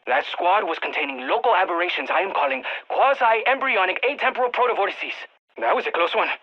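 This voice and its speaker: A man's voice: high-pitched, sing-song, fairly quick, creaky, lo-fi sound, soft background music, heavily acted. A man playing an eager, geeky field scientist in a video game, giving precise technical briefings to soldiers over radio comms. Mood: wry. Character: excitable nerdy male field scientist guiding soldiers by radio. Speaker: mission-support scientist character in a shooter game.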